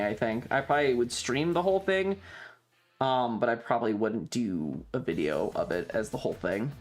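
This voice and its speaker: A streamer chatting casually in a rambling, wry and jokey, mildly crude way. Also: medium pitch. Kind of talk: casual livestream chat. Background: background music.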